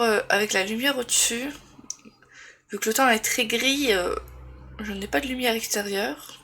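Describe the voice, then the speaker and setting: A woman's voice: fairly high pitch, sharp tone. Female vlogger with a head cold, rambling and hesitant, faint room noise behind her. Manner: rambling with many fillers and restarts. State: congested with a cold. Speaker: booktuber. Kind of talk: casual book review vlog.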